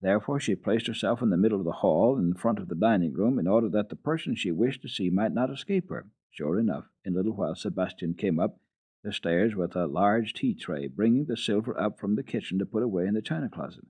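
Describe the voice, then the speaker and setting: A man narrating children's literature as a radio storyteller, reading dramatically in an expressive, old-fashioned way. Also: lowish voice, quick pace, breathy edge. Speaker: storyteller, radio program host.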